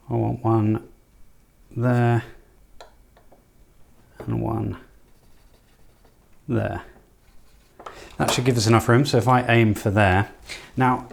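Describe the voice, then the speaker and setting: Low, creaky-voiced man, thinking aloud. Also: a little hiss or hum. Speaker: host of an electronics project channel. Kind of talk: casual hands-on build demonstration, thinking aloud.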